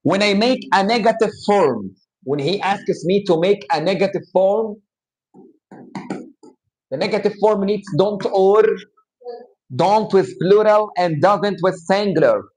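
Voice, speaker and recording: A man with an Egyptian accent, a native Arabic speaker, teaching English grammar in a live class. His voice sits high for a man, and he talks slowly and plainly, spelling out letters and rules for his students. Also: a little mellow, rough recording. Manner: slow, explanatory, switches into Arabic to explain.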